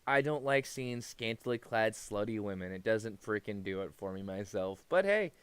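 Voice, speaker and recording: Guy doing youtube commentary, clear higher voice, dry sound, rambles and swears a lot. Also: animated, normal speed, no room sound. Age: adult past his teens. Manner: rambling, repetitive, profane. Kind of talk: unscripted opinionated commentary.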